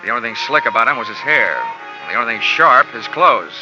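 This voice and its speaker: A man's voice: medium pitch, big pitch swings, brisk. A man narrating as a wisecracking insurance investigator in a 1950s radio drama, with a dry hard-boiled delivery. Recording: lo-fi old radio broadcast with music.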